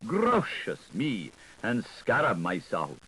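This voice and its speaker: A man narrating a literary audiobook in an expressive, theatrical way, playful with wordplay and sound effects. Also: fairly low pitch, takes it slowly, low-quality recording. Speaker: audiobook reader. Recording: 1980s audiobook recording with audible background noise.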